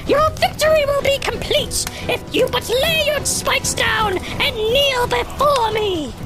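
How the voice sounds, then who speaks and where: An extremely high, shrill tyrant dinosaur king, pompous and whiny. The delivery is farcical audiodrama melodrama, with loud music behind it. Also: takes it slowly.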